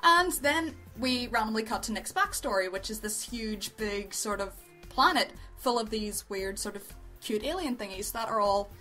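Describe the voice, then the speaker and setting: High-voiced woman chatting through a review. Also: animated, normal speed, sharp tone. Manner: rambling, informal, effusive. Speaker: review show co-host. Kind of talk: casual chat, review commentary. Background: background music.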